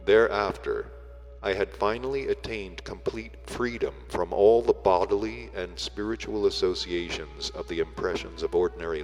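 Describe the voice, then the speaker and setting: A man reading a book aloud as solemn, scripted narration, in measured, deliberate phrases with weighty breaks. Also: low-pitched. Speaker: audiobook reader. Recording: long reverb, music behind the voice. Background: music.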